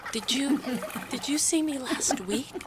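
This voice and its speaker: A woman's voice: high voice, unhurried, background noise. A woman playing a grieving, self-blaming but determined mother in an audio drama monologue, speaking in a raw, confessional way. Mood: anguished. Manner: raw, confessional, swearing, swinging from hushed to intense.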